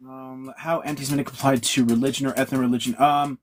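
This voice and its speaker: A man's voice: mid-range voice, level tone, fast, a little hiss or hum. A man giving blunt, profane answers as a guest historian in an interview, lecturing with emphatic asides.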